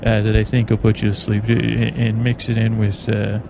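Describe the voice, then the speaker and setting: An American man hosting a bedtime podcast, rambling in a halting, meandering, soothing way. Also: on the low side. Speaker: podcast host. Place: aboard an airplane in flight. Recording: clear engine and cabin noise. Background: steady plane noise.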